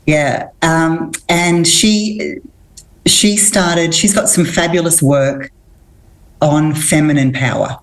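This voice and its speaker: A middle-aged woman from Australia with a low voice speaks as a guest on an interview. She answers in an earnest, reflective way, pausing and restarting as she thinks. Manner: thoughtful, earnest, pauses and restarts. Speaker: guest, women's coach.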